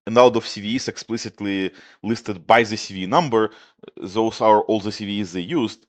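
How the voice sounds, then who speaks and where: Low-voiced man with a Russian accent. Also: moderate pace. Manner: explains plainly in a steady way, with some filler "like". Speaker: guest researcher. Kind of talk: casual tech podcast chat.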